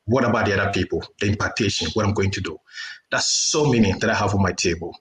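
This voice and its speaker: A man giving earnest, unscripted personal testimony as a panelist, in deliberate phrases. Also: fairly low pitch, a bit bright. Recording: roomy echo with noise and clicks.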